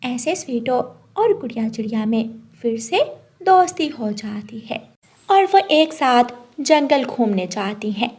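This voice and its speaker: A woman's voice: fairly high pitch, expressive, a little slow, darkish tone, slightly rough. A woman narrator reading a scripted moral story for children.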